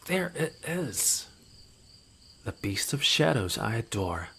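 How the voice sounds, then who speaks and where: A man's voice: mid-range voice, sing-song, takes it slowly, gravelly, fairly bright tone, breathy edge, exaggerated voice. A man playing a smug, taunting rogue informant in a fantasy audio roleplay, with a mocking, theatrical drawl. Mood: smug, teasing. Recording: outdoor night ambience with crickets behind. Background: crickets and night nature ambience.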